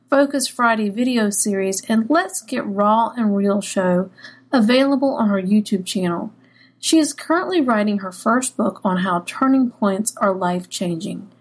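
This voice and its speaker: A woman's voice: on the high side, breathy edge. A woman co-hosting a writing podcast, introducing a guest and interviewing her with enthusiasm. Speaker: podcast co-host. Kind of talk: warm scripted intro then interview chat.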